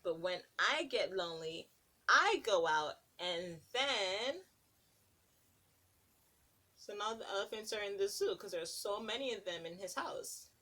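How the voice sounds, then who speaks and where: A woman's voice: mid-range voice, animated, unhurried, sharp tone. A woman preschool teacher reading a picture book aloud to young children, stopping to ask them questions. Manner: sing-song, asks children questions between pages.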